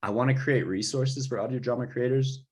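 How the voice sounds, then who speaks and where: A man in an informal chat about music production, tentatively working through his ideas out loud. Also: mid-range voice, brisk, breathy edge. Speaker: composer for audio drama.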